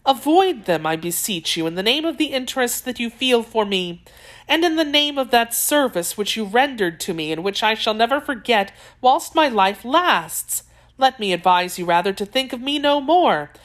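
A woman's voice: fairly high pitch, lively intonation, normal speed, a bit bright, voice pushed up. A woman voicing a secretive, imperious young Frenchwoman in an audiobook, speaking in a formal, emphatic and guarded way. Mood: earnest pleading.

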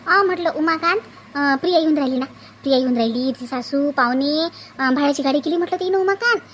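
A woman's voice: sits high, fast talker, slight background noise, close to an everyday voice. A woman playing a poor, meek village mother in a cartoon comedy, pleading hesitantly with a Varhadi Marathi accent. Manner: hesitant, plaintive, coaxing.

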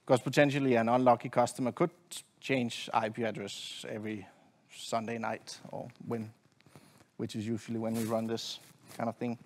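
A middle-aged man giving a technical presentation from a conference stage in a halting, hesitant way. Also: medium pitch, flat delivery, slight background noise. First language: not Swedish. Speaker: conference presenter, network engineer. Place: conference stage at a lectern, indoors.

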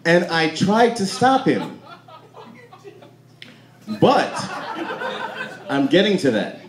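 Animated American stand-up comic, brash man. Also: on the high side. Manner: brash, animated, swearing, talking back to hecklers. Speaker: stand-up comedian at a roast. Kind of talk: stand-up roast, profane crowd banter. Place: club stage before a live audience. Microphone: handheld stage mic through PA, filmed from the crowd. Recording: roomy club PA with audience noise.

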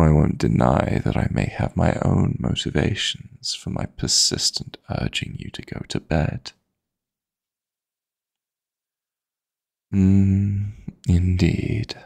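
A man voicing a possessive, flirty yet tender dream demon lover in an intimate ASMR sleep-aid roleplay, coaxing in a husky, breathy voice with formal endearments. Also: low-pitched, a little mellow, heavily acted. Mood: teasing, flirty. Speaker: audio roleplay performer.

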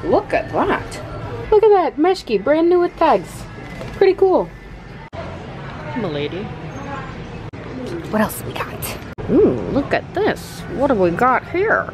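Young female vlogger, very lively pitch, exclamatory and playful, chatty shopping-haul delivery into a handheld camera. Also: slow, long pauses, music in the background, rough recording. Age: young adult. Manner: playful, exclamatory, theatrical asides. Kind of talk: casual shopping vlog. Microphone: handheld camera at arm's length.

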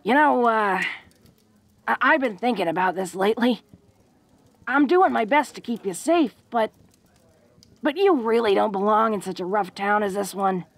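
A woman's voice: a little high, creaky, no echo, exaggerated voice. A woman playing a tough, protective, secretly awkward young orc woman in an intimate audio roleplay, with rustic slangy speech and a bashful stammer.

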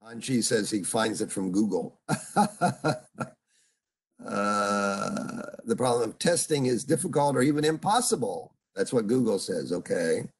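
An older man teaching an online seminar as a professor, speaking haltingly with many fillers and stopping often to put questions to his students over a video call. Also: lowish voice, animated, slightly rough. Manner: halting, full of uhs, asks students questions. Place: home room on a video call. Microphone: video call computer mic.

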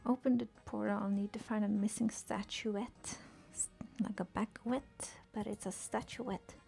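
A young woman streaming a game, murmuring casual commentary to herself and often slipping into a whisper, with a soft, soothing voice. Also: medium pitch, steady tone, unhurried, gravelly, soft background music.

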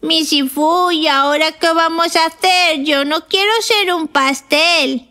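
A woman voicing a sweet, naive little girl in a children's cartoon, with an innocent, questioning, drawn-out delivery. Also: very high-pitched, exaggerated voice. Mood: worried. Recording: loud children's music underneath.

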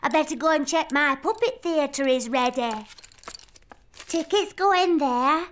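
A high-voiced, eager little princess. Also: a little slow, a little hiss or hum. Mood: playful, eager. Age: child. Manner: expressive, childlike, eager. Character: lively little girl princess, imaginative and bossy. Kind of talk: preschool cartoon voice acting.

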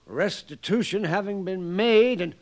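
High, halting frontier judge. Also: male voice, darkish tone. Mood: flustered. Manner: halting, hemming and hawing. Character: hesitant, flustered small-town frontier judge. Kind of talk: old-time radio western acting.

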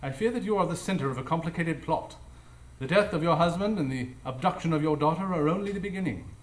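An actor playing a brisk, analytical gentleman detective, formal and declamatory, in a live radio drama. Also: fairly high pitch, lightly acted. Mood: measured, reassuring. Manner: declamatory, formal, self-assured. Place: live stage before an audience. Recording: echoey live stage recording.

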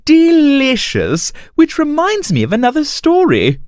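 A woman playing a cheerful, polite young male storyteller in an animated children's tale, with a courteous, theatrical manner. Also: high voice, unhurried, no echo, studio quality, heavily acted. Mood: pleased, musing.

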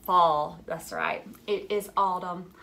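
A woman's voice: lowish voice, gravelly, background noise. A woman in her thirties reading a storybook aloud to young children like a teacher, expressively, with questions and little asides. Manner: expressive, drawn-out storybook delivery. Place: furnished living room at home, indoors.

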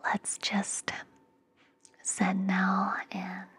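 A young woman whispering gently and reassuringly in a soothing, breathy ASMR style, close to the microphone. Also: mid-range voice, completely flat delivery, extremely slow. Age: young adult. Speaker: ASMR podcast host. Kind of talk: ASMR, soothing guided relaxation and affirmations. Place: indoor room, close up. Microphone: fluffy-covered microphone very close to the mouth.

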